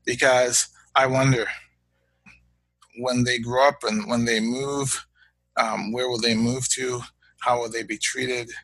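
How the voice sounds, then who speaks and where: A Canadian man and father of young children, talking as a guest in a reflective interview, measured and halting, often repeating words as he thinks. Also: mid-range voice, flat delivery, a bit bright, slightly rough, no room sound, rough recording.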